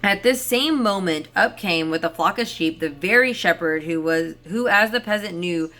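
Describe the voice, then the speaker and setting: A woman storyteller who reads fairy tales and comments on them in an informal, rambling, expressive way. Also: fairly low pitch, moderate pace. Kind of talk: storybook narration with casual chatty commentary. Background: soft ambient background music.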